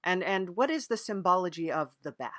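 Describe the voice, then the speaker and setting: A woman's voice: lowish voice, animated, fairly quick, a bit bright, no room sound, clean studio sound. A woman interviewing on an improvised comedy podcast, playing along earnestly in a hesitant way, often repeating her phrases. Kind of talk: improvised mock-serious interview.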